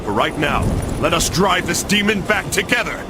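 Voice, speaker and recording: A man's voice: high-pitched, lively intonation, normal speed, very noisy. A man playing a bold, proud young Templar warrior in a video game, earnest and declamatory. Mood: determined. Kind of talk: video game cutscene acting.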